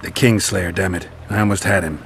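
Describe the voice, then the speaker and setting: An actor playing a gruff, stoic monster hunter in a video game, speaking in terse, restrained lines. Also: male voice, deep voice, fairly even intonation, a little fast, fairly bright tone, soft background music. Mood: grim, frustrated. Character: gruff stoic monster-hunting male witcher.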